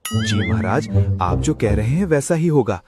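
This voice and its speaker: A man's voice: fairly low pitch, lively intonation, normal speed, over loud music, close to an everyday voice. A man voicing a meek, submissive jungle animal in a children's cartoon story. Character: meek, pleading male jungle animal underling. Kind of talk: animated children's fable acting.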